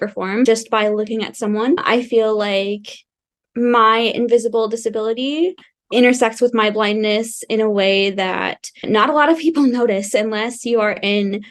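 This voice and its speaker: A young woman speaking as a podcast guest, fluent, articulate and reflective as she explains her experiences. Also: fairly high pitch. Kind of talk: casual podcast interview.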